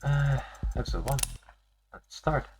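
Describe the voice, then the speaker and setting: A man's voice: mid-range voice, unhurried. A man doing casual playthrough commentary as a game streamer, sometimes reading on-screen text aloud. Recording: game music behind the voice.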